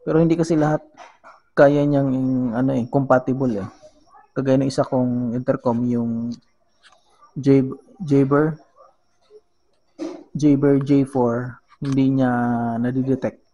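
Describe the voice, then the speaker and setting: A man with a Filipino accent giving a casual how-to demonstration as a vlogger, halting as he searches for words and mixing two languages. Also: mid-range voice, fairly even intonation, warm tone, muffled recording. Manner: halting, searching for words, switches between Tagalog and English. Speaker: vlogger giving a gadget tutorial.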